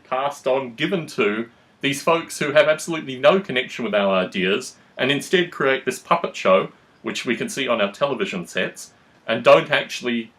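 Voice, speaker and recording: A man speaks at an average male pitch as he lays out his opinions in a political discussion. He hosts the talk and phrases things formally and deliberately. His sentences run long and wordy. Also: fairly bright tone. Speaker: podcast host.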